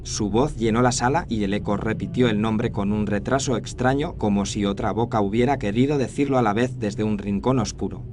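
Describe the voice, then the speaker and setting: A man's voice: fairly low pitch, a little fast, few pauses, music in the background. A man reading a scripted first-person horror story as its narrator. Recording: faint noise and ambience behind narration.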